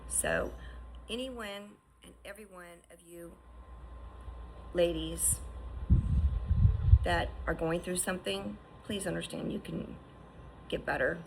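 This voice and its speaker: A woman's voice: fairly low pitch, takes it slowly, creaky, long pauses, background noise. A woman in her fifties giving an earnest, encouraging personal testimony, often restarting and asking "right?". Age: 50s. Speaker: survivor sharing her story.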